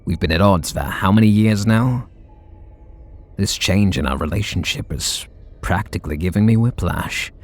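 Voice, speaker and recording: A man playing a gravelly, flustered super villain. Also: normal speed. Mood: tender. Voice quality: low gravelly husky voice. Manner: soft and intimate, bashful. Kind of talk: ASMR audio roleplay.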